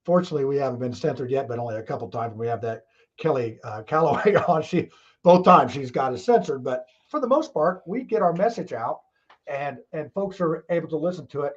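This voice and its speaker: A man's voice: on the high side, animated, brisk, breathy edge, muffled recording. A man hosting a casual talk show, rambling along in a folksy way with frequent chuckles.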